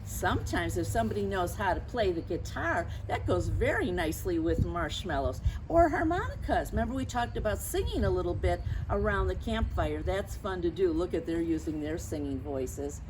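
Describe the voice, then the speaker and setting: A woman's voice: fairly low pitch, big pitch swings, moderate pace, hardly any pauses. Woman reading to young children, noisy outdoor recording. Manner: teacherly, addresses children directly with questions and reminders. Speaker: story time reader for children. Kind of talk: read-aloud storytelling to young children with chatty asides. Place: outdoors near lawns being mowed. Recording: outdoor noise, mower and birds.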